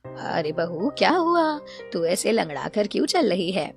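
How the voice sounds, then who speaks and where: A woman's voice: medium pitch, very animated, unhurried, gravelly, soft background music. Bossy, boastful middle-aged mother-in-law, acted by a woman. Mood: concerned. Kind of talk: Hindi cartoon story voice acting.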